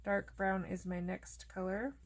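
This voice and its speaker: A woman host casually narrating a coloring tutorial, chatty and relaxed, thinking aloud as she works, and losing her voice. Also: medium pitch, unhurried.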